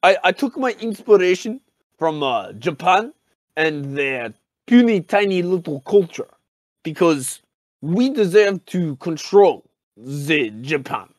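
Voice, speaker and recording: A man playing a pompous, nostalgic Soviet poet in improvised comedy, with a put-on Russian accent and a halting, mock-grandiose delivery that repeats words. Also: fairly high pitch, big pitch swings, no echo. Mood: proudly patriotic.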